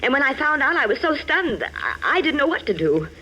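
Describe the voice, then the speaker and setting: A woman's voice: high voice, very animated, fairly quick, pushed and bright, full character voice, rough recording. A woman playing an anguished young woman in trouble, earnest and melodramatic, in 1940s-style radio drama. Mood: distraught. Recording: hissy vintage radio recording.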